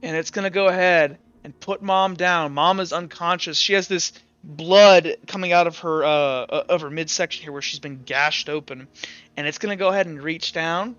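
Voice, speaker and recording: High-voiced man narrating a tabletop game. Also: fairly bright tone, clean tone, no echo, highs cut off, faint music behind. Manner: halting, dramatic, swears casually. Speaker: game master.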